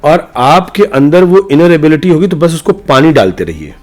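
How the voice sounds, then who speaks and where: A man's voice: on the high side, animated, normal speed, faint music behind. A middle-aged man giving reflective, opinionated answers as a podcast guest, speaking emphatically in rhetorical, repeated phrases. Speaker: interview guest, senior news journalist.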